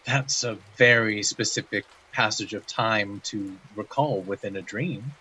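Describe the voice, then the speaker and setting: An actor playing a measured interviewer in an audio drama, questioning a dreamer in a formal, precise and methodical way. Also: male voice, lowish voice, sharp tone, slight background noise, poor audio. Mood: probing. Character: measured, probing male interviewer examining a dreamer.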